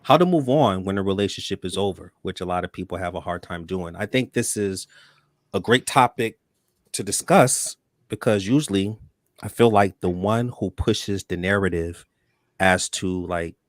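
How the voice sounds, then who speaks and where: A man hosting a live online talk show, speaking in a relaxed, conversational way with casual slang. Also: sits low, normal speed, dry recording, studio quality. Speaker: host.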